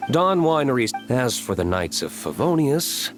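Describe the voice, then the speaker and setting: A man's voice: mid-range voice, very animated, measured pace. A man voicing a reserved, curt young nobleman vigilante in a video game, cool in temper. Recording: game music behind the voice.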